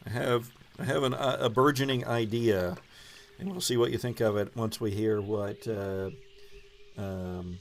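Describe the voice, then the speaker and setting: A man's voice: lowish voice, a little slow, gravelly, a little hiss or hum. A man acting as game master, casually improvising and thinking aloud with hesitant phrasing. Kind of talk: casual improvised game narration and table talk.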